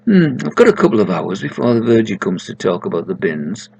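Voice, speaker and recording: A man's voice: fairly low pitch, sing-song, a little fast, vocal fry, a little mellow, husky, dry recording, heavily acted, rough recording. A man voicing a mild male country vicar musing to himself in a story.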